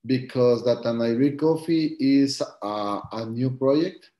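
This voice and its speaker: A man from Guatemala, a coffee farmer, answering interview questions over a video call in halting English with many fillers. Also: medium pitch, mellow, echoey room. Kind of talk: live-stream interview answers.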